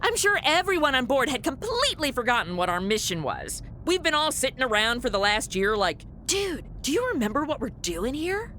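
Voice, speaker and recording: An actress playing a sassy, flippant young influencer in a radio comedy, sarcastic and full of modern slang. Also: female voice, high voice, sing-song, brisk, few pauses, sharp tone, a little hiss or hum, exaggerated voice. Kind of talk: comedic radio-play acting.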